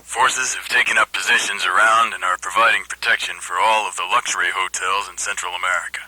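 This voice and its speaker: An actor in a satirical radio play playing a stern, formal male newscaster giving deadpan official warnings. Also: deep voice, a little fast, rough recording. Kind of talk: parody news announcement.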